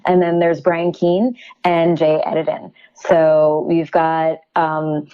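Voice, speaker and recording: Lady guest on an interview, lowish voice, excited but halting, sounds a bit muffled and lo-fi. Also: clean tone. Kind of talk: casual promotional interview.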